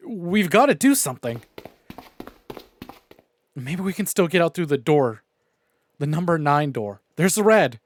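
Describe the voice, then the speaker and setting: A man streaming a visual novel, reading it aloud and reacting in an expressive, sweary way. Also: fairly high pitch, unhurried, pauses often, slight background noise. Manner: expressive, profane. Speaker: game streamer.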